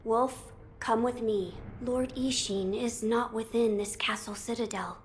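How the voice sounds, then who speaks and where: A woman's voice: fairly high pitch, steady tone, measured pace, slight background noise, lightly acted. A woman voicing a solemn young noble heir, a boy speaking formally and earnestly in a video game drama. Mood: calm, composed.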